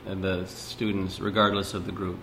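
A man's voice: low-pitched, completely flat delivery, normal speed, creaky, a bit bright, slight background noise. A man interviewing someone for an oral history, asking long, rambling questions full of restarts.

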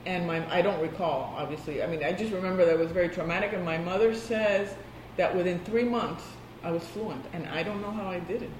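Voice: mid-range voice, fairly quick, hardly any pauses, background noise. Reflective speaker recalling school days, Spanish native. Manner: reflective, thoughtful recollection with small restarts. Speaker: interviewee. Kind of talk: oral history interview. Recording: echoey room.

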